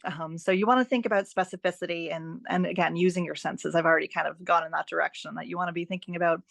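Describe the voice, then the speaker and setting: A woman's voice: fairly low pitch, fairly even intonation, fast talker, vocal fry, fairly bright tone, no echo, studio quality. A woman giving advice as a guest in a podcast interview, speaking emphatically and encouragingly and often posing rhetorical questions. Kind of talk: podcast interview, explanatory talk.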